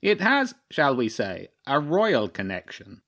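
Man voicing a pompous old crow chairman, swooping pitch. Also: wildly swooping pitch, a little slow, fairly bright tone, full character voice. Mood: shrewd, measured. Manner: pompous, clipped, formal pronouncements. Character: old male crow chairman, stern, haughty and shrewd.

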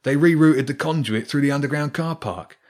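A man's voice: a little high, moderate pace, a little mellow, voice pushed up, lightly acted. A man voicing a nervous, grumbling male rat workman in a children's storybook. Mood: wary. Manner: grumbling, wary.

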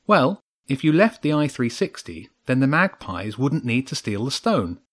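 A man's voice: mid-range voice, sing-song, moderate pace, pitched above the performer's own voice. A man voicing an earnest, worried boy adventurer in a children's audiobook, a little hesitant.

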